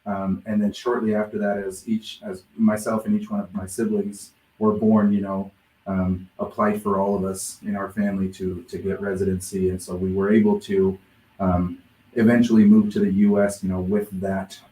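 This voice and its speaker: Lower-voiced man in an echoey room. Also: level tone, normal speed, warm tone. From born in Mexico, raised in Nebraska, USA. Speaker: interview guest, middle school principal. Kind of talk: conversational personal storytelling. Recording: roomy, echoing video call sound.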